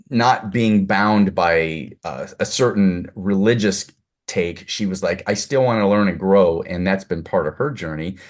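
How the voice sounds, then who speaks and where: Effusive male interview host. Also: sits low. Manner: effusive, complimentary. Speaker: host, producer. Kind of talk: casual interview chat.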